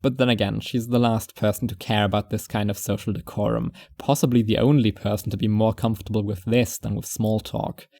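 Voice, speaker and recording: Man acting a brooding shut-in watcher, audio fiction narrator, gravelly fry, mellow tone, wry and deliberate. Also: lowish voice, fairly even intonation, no echo. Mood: uneasy musing. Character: reclusive, paranoid male surveillance watcher, wry and brooding. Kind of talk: first-person fiction narration.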